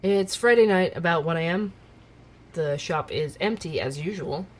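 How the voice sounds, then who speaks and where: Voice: medium pitch, moderate pace, natural voice. An actor as a young night-shift podcaster in an audio drama, with a mid-range voice. The delivery is inquisitive and skeptical, confiding each detail like a private investigation log, with music underneath. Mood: investigative, musing.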